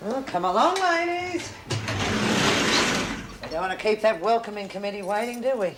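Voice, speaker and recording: A woman's voice: medium pitch, lively intonation, normal speed, very noisy, natural voice. An actress playing a cold, taunting female prison officer, barking orders. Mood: commanding. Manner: sneering, commanding.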